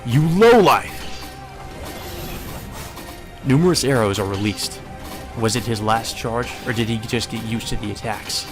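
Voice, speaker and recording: Low-voiced man narrating over music. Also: fairly even intonation, moderate pace. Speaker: visual novel reader and narrator. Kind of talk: dramatic scripted narration. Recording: music behind the voice.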